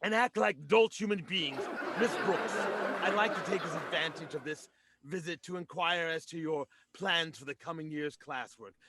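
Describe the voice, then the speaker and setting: An actor playing an irritable, pompous middle-aged school principal in an old-time radio comedy, grumbling in exasperation. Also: male voice, on the high side, moderate pace, very noisy, lightly acted. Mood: flustered.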